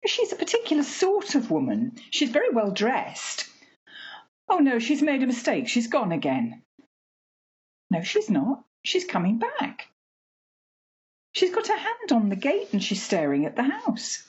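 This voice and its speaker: A woman playing a fussy, chatty working-class mother in a radio play, homely and expressive. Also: fairly high pitch, unhurried, slightly rough, highs cut off, heavily acted. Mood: flustered, excited.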